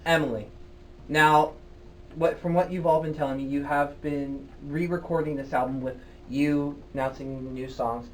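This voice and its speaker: A man's voice: on the high side, steady tone, moderate pace, distant mic, slight background noise. A man hosting a live college radio show in the studio, interviewing a band in a playful, flustered, laughing way.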